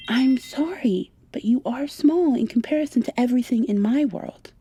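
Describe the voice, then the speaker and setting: A woman in an ASMR roleplay playing a gentle, wry young witch who coaxes her plants in a soft-spoken way. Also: on the high side, normal speed, slightly rough. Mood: gentle, pleading.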